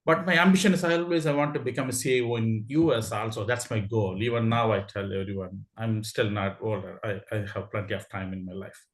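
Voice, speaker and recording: A man's voice: on the low side, steady tone, brisk, few pauses. A man with an Indian accent eagerly sharing a story on a video call, laughing and restarting his sentences. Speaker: club member sharing a story. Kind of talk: casual meeting talk. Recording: echoey room.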